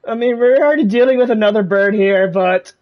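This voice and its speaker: A woman's voice: mid-range voice, brisk, muffled recording. A woman streaming a game with casual, chatty commentary. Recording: game music behind the voice.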